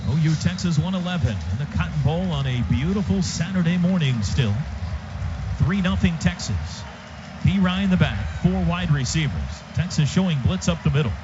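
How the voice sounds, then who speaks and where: High-pitched American football play-by-play man. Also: lively intonation, moderate pace. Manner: clipped, punchy phrases. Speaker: radio play-by-play announcer. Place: stadium radio booth. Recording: dull, noisy live radio broadcast.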